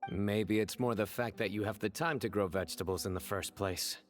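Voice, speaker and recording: A man plays a stern male investigator from a video game. His voice sits fairly low and stays measured. He is formal, calm and deadpan, over faint music. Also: lightly acted. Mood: dry, composed.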